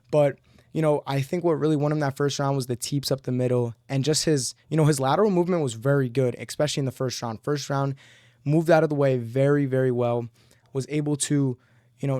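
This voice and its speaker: A man's voice: medium pitch, darkish tone, dry recording, clean studio sound. A young man giving a casual, rambling fight recap, full of slang and constant "bro"s. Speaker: MMA commentator.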